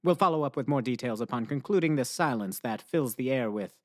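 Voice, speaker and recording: An actor playing a pompous, awkwardly formal survivalist nerd in a radio play, with stilted, over-precise diction. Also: male voice, mid-range voice, expressive, fairly quick, a little mellow, close to an everyday voice. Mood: solemn log-keeping.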